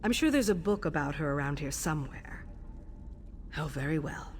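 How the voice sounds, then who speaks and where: A woman voicing a composed, sardonic assassin guild superior in a video game, speaking in a measured, dryly wry, commanding way. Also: low-pitched, expressive, a little mellow, a little hiss or hum. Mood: dry, informative. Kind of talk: scripted video game quest dialogue.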